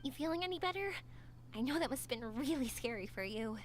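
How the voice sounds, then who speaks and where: A young woman streamer reading game dialogue aloud, gentle when reading, loud in her reactions. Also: high-pitched, lively intonation, brisk, slight background noise.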